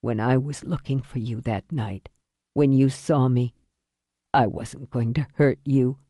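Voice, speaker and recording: A dying middle-aged father as a female narrator plays him, low and mellow, speaking weakly and haltingly. He is weary and regretful with a wry tough streak, in a dry audiobook recording. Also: steady tone, no echo. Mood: apologetic. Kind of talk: audiobook thriller character acting.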